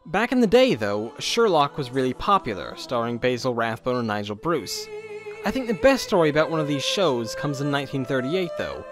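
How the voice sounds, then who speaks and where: A man hosts a scripted video essay in a middle-pitched voice that moves around a lot. He is wry and slips in tongue-in-cheek asides, with music clearly playing behind him. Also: very animated, brisk. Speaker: host and narrator.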